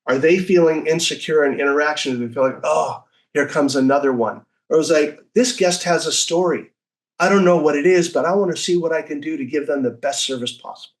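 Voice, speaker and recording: Guy on an interview show telling stories, kinda higher voice, enthusiastic, conversational. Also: fairly quick, low-quality recording. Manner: enthusiastic, acts out short quoted remarks. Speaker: interview guest, speaker and consultant. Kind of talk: conversational interview, personal storytelling.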